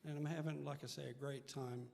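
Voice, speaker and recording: A man's voice: mid-range voice, steady tone, a little fast, creaky, darkish tone. A middle-aged or older man, a singer-songwriter, chatting casually as an interview guest. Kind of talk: casual live interview chat. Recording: live hall reverb, faint music.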